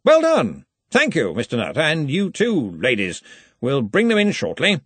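A man's voice: a little high, wildly swooping pitch, unhurried, slightly rough, no room sound, voice pushed up, exaggerated voice. A man voicing a jovial, tall old university Arch-Chancellor in an audiobook, speaking in hearty, clipped phrases with a faintly threatening cheer. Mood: brisk, hearty.

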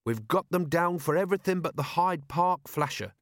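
A man's voice: on the high side, animated, normal speed, dry recording, clean studio sound, pitched above the performer's own voice. A man voicing a sour, aggrieved zombie police constable in an audiobook, grumbling and complaining as he talks. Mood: matter-of-fact. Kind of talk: audiobook character voice.

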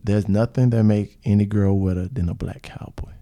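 A man's voice: fairly low pitch, fairly even intonation, moderate pace, warm tone. A man hosting a casual podcast chat, joking, swearing freely and laughing often. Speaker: podcast host.

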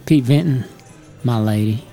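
An actor playing a cocky 1890s gambler in a Western drama, teasing and mock-courtly with plenty of swagger. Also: male voice, medium pitch, unhurried, dark tone, faint music behind, natural voice. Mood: smooth swagger. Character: cocky young male frontier gambler in the 1890s. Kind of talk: semi-animated film voice acting, Western drama.

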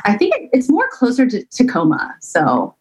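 An American woman talks casually as an interview guest. Her pitch moves around a lot, and she rambles in a loose, hedging way. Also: wildly swooping pitch, moderate pace, poor audio. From suburb of Seattle, US. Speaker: band singer and guitarist, interview guest. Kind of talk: casual chat.

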